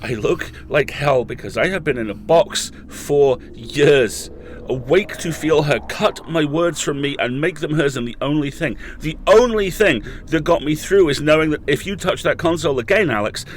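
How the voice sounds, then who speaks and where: Male actor as embittered ex-captive for horror audio drama: highish voice, emphatic, angry delivery, slight background noise. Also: expressive, normal speed, natural voice. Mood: bitter, angry. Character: furious man freed after years of captivity.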